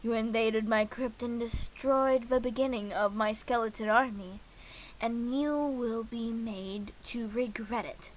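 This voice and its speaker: A woman's voice: a little high, steady tone, unhurried, slight background noise, lightly acted, rough recording. A woman voicing a menacing, grandiose villain from a visual novel, pompous and threatening. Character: menacing, grandiose villain plotting with an undead skeleton army.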